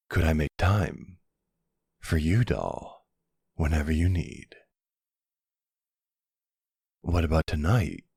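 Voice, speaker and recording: Man playing a deep, gravelly flirty mafia boss. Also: dry recording, clean studio sound, heavily acted. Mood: flirty, affectionate.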